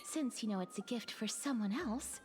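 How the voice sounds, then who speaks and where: A woman voicing a gentle, solemn young woman in a game, speaking softly. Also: fairly high pitch, animated, moderate pace, music in the background, close to an everyday voice. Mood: calm. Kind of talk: game voice acting.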